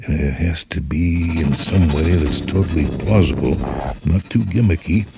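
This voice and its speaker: A man voicing an anxious, excitable fiction writer who muses emphatically to himself in a dramatic radio story. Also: a bass voice, normal speed, vocal fry. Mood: hopeful musing. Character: frustrated male fiction writer thinking aloud, excitable, anxious. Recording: music behind the voice.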